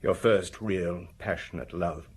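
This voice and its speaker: An actor playing a maudlin, hard-drinking murder suspect in a radio drama, halting, wistful and confessional. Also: male voice, deep voice, breathy edge. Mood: wistful, grieving.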